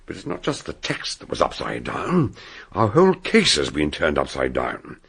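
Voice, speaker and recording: An actor playing a shrewd, eccentric English detective doctor in a radio drama, speaking deliberately and theatrically in a gravelly, husky voice. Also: male voice, rumbling low, huge pitch swings, a bit bright, exaggerated voice. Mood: wry, musing. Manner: deliberate, hesitant questioning, theatrical. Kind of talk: radio drama acting and narration.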